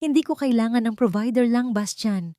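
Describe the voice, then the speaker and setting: A woman's voice: fairly high pitch, normal speed, warm tone, no echo, studio quality, pitched above the performer's own voice, lightly acted. Woman acting exhausted, pleading wife. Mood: upset, pleading. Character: exhausted wife and mother, hurt, pleading to be understood.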